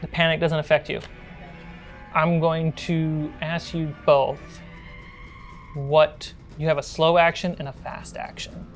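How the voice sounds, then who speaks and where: Woman, low voice, slow delivery, tabletop game master, playful narration, music behind. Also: level tone. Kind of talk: running a tabletop role-playing game, narration mixed with casual table talk.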